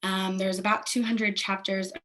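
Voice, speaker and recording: A woman's voice: mid-range voice, level tone, moderate pace, a bit bright. A friendly college senior from Cincinnati talks in a conversational way with a mid-range voice. She is heard over a video call. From Cincinnati, Ohio. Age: young adult. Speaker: honor society chapter president. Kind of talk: panel introduction.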